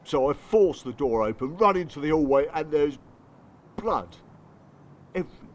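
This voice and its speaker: A man's voice: on the high side, animated, moderate pace, dull audio, natural voice. An actor playing a bitter, working-class English father in a radio drama, confessing his hurt in blunt, colloquial, strained speech. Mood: anguished, agitated. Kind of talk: radio drama dialogue and monologue.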